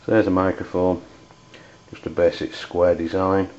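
A man with an English accent giving an unscripted product review in a hesitant, halting way. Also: deep voice, flat delivery, a little mellow. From United Kingdom. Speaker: amateur radio reviewer. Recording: dull lo-fi audio with background noise.

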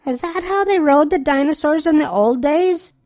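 Man putting on a squeaky, excitable schoolkid. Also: moderate pace, no room sound, clean studio sound. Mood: curious. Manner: squeaky, exaggerated delivery. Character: excitable young schoolchildren on a museum trip.

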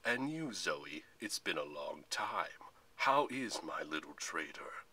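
A man voicing an ancient Titan general, menacing and gloating in a deep, slow, pompous storybook villain voice. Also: sing-song, bright, forceful tone, slightly rough, full character voice. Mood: mocking. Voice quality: deep, booming.

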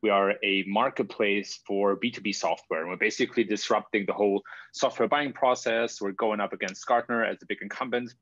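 A man's voice: fairly low pitch, steady tone, fairly quick, fairly bright tone, dry recording. A man with a German accent, a guest speaker introducing himself on a webinar, with frequent "uh" fillers. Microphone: video call. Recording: dull, dry online call audio.

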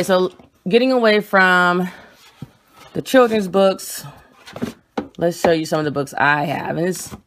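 A young woman with a low voice speaks into a phone held at arm's length in a small room at home. She talks bluntly and with emphasis, hurrying through some lines and slowing down to press her points. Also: a bit bright, a little hiss or hum. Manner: emphatic, blunt and quick-talking. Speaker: vlogger. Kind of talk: casual home vlog showing books. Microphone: phone camera held at arm's length.

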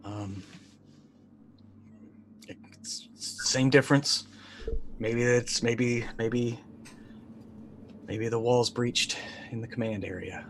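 A man in his forties improvising casual narration as a tabletop game player, halting as he thinks aloud. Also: medium pitch, fairly even intonation, faint music behind. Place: small indoor room, remote stream.

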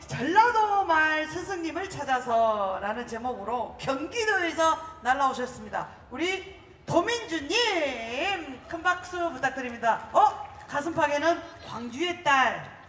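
The host of a dialect contest introduces speakers and cheers them on with brief, lively interjections. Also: sits high, measured pace. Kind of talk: event emcee. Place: event hall. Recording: roomy hall, distant mic, faint noise.

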